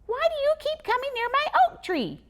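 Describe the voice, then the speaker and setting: A woman doing Squizzy, a squeaky angry squirrel. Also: very animated, normal speed, noisy background. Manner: indignant, shouting.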